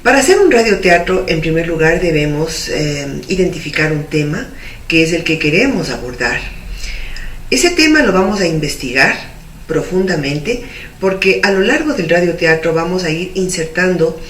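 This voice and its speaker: A woman's voice: lowish voice, fairly bright tone. Woman instructor in a roomy, distant recording. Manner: methodical, didactic. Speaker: radio drama producer giving a tutorial. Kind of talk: instructional explanation. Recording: roomy, distant mic, faint noise.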